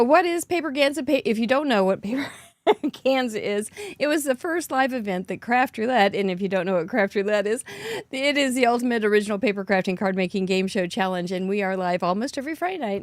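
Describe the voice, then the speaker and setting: A middle-aged woman host with a hoarse voice, chatting in an upbeat way and laughing often as she shows off gifts. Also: medium pitch, dry recording. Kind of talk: casual show-and-tell recap.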